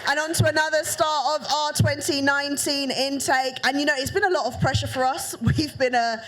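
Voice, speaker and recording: A woman's voice: high voice, fairly even intonation, a little fast, fairly bright tone, slight background noise. A woman MP chairing a rally, introducing speakers in a chatty, laughing way. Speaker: member of parliament chairing a rally. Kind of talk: casual introduction between speakers.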